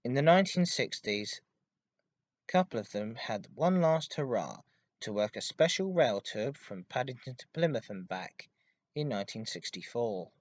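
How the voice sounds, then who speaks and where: A man hosting a hobby podcast, giving an informative enthusiast monologue in a halting way with stressed intensifiers. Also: mid-range voice, lively intonation, dry recording. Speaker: podcast host. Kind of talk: informative enthusiast monologue about railway history.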